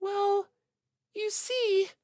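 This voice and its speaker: A woman voicing a shy, flustered, gentle schoolgirl in an audiobook, hesitant and bashful. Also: very high voice, fairly even intonation, drawn-out words, no room sound, clean studio sound, pitched above the performer's own voice, full character voice. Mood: embarrassed. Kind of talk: audiobook character voice.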